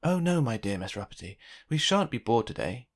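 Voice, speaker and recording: Unflappable, courteous storybook gentleman, acted by a narrator, gracious and cheerful with lilting, lively pitch. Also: a little fast. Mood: reassuring.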